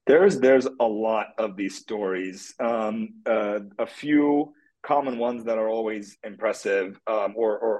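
A man's voice: mid-range voice, a little mellow, poor audio. Guy from turkey talking in an interview, lots of uh and um, restarts a lot. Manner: hesitant, many fillers and restarts. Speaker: guest, tech product executive. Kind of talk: conversational interview answers.

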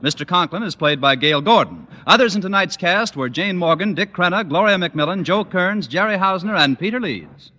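A man's voice: high voice, lively intonation, brisk, hardly any pauses, noisy background. A man announcing show credits as a 1940s radio network announcer. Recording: vintage radio recording with audience. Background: music and audience applause.

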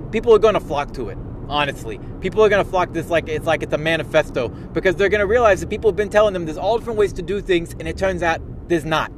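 A man's voice: high voice, slight background noise, close to an everyday voice. A man playing a pompous, self-important tabletop gaming expert who lectures in a rambling way, stumbling over restarts and repeating himself. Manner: boastful and lecturing. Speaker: self-styled podcast expert. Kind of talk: parody monologue.

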